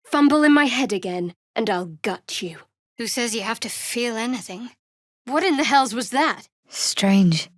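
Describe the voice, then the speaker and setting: A woman voicing a guarded, sardonic young woman cleric in a fantasy video game, with a measured, dry delivery. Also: fairly high pitch, expressive, dry recording. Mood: fierce.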